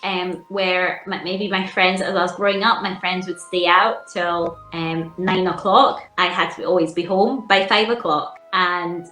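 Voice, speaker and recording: A woman guest telling childhood stories in a measured, reflective way, with frequent ums. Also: lowish voice, steady tone, bright, forceful tone, reverberant, faint music behind, poor audio. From England. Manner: measured, reflective storytelling with fillers. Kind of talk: casual chat.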